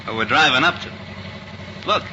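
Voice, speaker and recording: Actor as a slangy crook, noisy old radio. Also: male voice, mid-range voice, animated, moderate pace, close to an everyday voice. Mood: boastful delight. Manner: slangy sweet-talking. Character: cocky, affectionate small-time male crook. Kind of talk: 1940s radio crime drama acting. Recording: dull, noisy 1940s radio recording.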